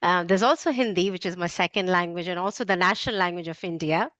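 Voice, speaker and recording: A woman's voice: on the low side, fast talker, a bit bright, no room sound, dull audio. A woman whose first language is Urdu, working as a teacher and speaking in a cheerful, fluent way. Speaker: English teacher.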